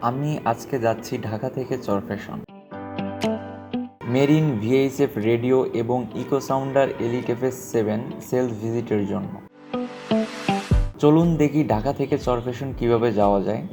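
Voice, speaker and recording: A man with a Bangladeshi accent, reading a scripted travel vlog narration as a presenter and sales representative. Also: mid-range voice. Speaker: travel vlogger and marine equipment sales representative. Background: music.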